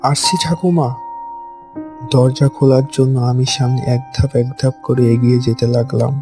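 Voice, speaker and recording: A man's voice: mid-range voice, fairly even intonation, moderate pace, over music, close to an everyday voice. A man voicing an anxious, bewildered young grandson who narrates and acts in a horror cartoon with dramatic, hesitant delivery. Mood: uneasy. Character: frightened, bewildered young grandson telling his ghost story. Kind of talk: first-person horror cartoon narration and dialogue.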